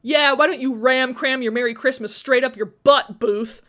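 A woman's voice: sits high, normal speed, fairly bright tone, pitched above the performer's own voice. A woman as a bitter, sarcastic boy. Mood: angry.

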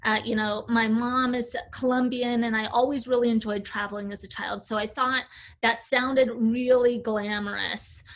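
Chatty woman with a high voice. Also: flat delivery, normal speed. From United States. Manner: chatty, fluent storyteller with filler "uh"s. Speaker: agency CEO, guest. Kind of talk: casual interview talk.

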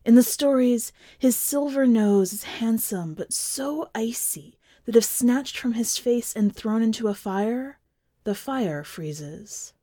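A woman reads a literary short story in a measured, deliberate and expressive way, sometimes dropping into a hushed voice. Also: on the high side, no echo, clean studio sound. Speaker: podcast editor and story reader.

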